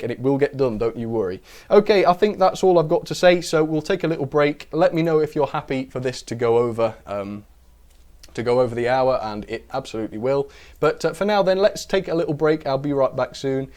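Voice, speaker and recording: A man hosting a casual live stream, chatty and self-deprecating, his voice a little rough from a cold. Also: mid-range voice, a little mellow. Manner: chatty, self-deprecating, invites viewers to correct him. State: a bit of a cold, voice recovering.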